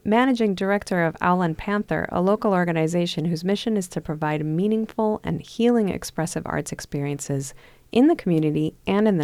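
A woman hosting a radio arts program from a studio. She reads her introductions and puts her questions calmly and deliberately. Her voice sits somewhat low, with a warm, mellow tone. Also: no room sound. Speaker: radio host. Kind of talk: scripted intro and studio interview.

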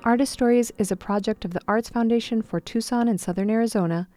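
A woman hosting a radio show in a studio, reading scripted introductions and asking questions in a calm, deliberate way. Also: on the high side, soft background music. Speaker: radio host.

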